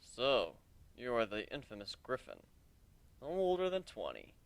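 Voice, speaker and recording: A man performs a stoic, mysterious male companion in a fantasy story. He speaks in a lowered, measured voice, calm and serious, with a dry, close sound. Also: gravelly, no echo, full character voice.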